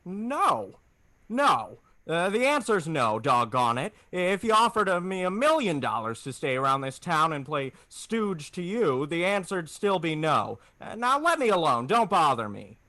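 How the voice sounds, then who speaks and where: Small-town family man played by a man for 1940s-style radio drama: earnest, hesitant, animated, slightly high-pitched. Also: measured pace, no echo, full character voice. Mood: indignant. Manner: stammering, hesitant, folksy.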